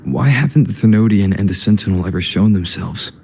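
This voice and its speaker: A man's voice: low-pitched, brisk, creaky. A man voicing a reserved, inquisitive traveler in a video game, with a direct and restrained way of talking. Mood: reserved, inquiring. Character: reserved, inquisitive male traveler, newcomer to the land. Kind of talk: video game story dialogue.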